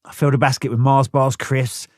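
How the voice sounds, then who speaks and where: A man's voice: medium pitch, flat delivery, fairly quick, no room sound, studio quality. A man narrating a first-person memoir audiobook in a blunt, plain-spoken way, swearing freely.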